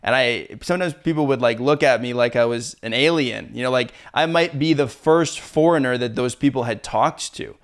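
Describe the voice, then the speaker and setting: A man's voice: mid-range voice, normal speed, hardly any pauses. A man hosting a casual interview, chatty and loose, with many fillers and easy laughs. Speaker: interview host.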